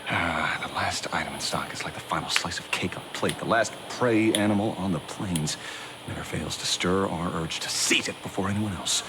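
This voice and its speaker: An actor playing a famous detective in a video game, theatrical and brooding yet awkwardly polite. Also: male voice, low-pitched, normal speed, background noise. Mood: musing. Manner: theatrical, hesitant stammering when flustered, sighing. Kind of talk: dramatic game voice acting. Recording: music behind the voice.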